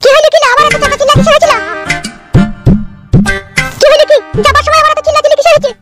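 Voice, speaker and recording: A performer voicing a sharp-tongued, domineering village mother-in-law in a comic cartoon, scolding shrilly with a rural West Bengal accent. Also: extremely high pitch, level tone, racing, over loud music. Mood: indignant.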